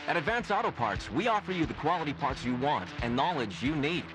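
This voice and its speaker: A man's voice: on the high side, sing-song, fairly quick, over music, low-quality recording. A man reading a scripted retail radio commercial as an announcer.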